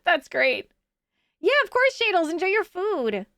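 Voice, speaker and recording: High-voiced rambling woman streamer. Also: very animated, unhurried, pushed and bright, no echo, clean studio sound. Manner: rambling, many restarts, laughs often. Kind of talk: casual live-stream chat, reading chat.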